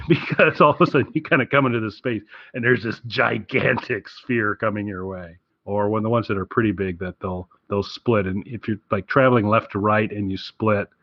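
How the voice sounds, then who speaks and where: A man with a fairly low voice talks casually as a podcast co-host. He rambles along with easy enthusiasm. The sound is very dry and a little lo-fi. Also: no echo. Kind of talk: casual game recommendation chat.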